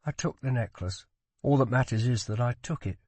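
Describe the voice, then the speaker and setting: A man's voice: medium pitch, a little fast, darkish tone, slightly rough, no room sound, natural voice. A man voicing a wounded young prince in an audiobook, petulant and dramatic, playful yet weighed down by guilt. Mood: vulnerable, ashamed. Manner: scornful, dramatic, demanding.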